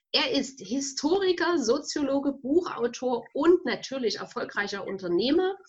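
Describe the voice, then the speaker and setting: A woman hosting an interview podcast while she has a cold, asking her guest questions in a friendly, measured way. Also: fairly high pitch, fairly bright tone. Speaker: podcast host. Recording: dull lo-fi sound.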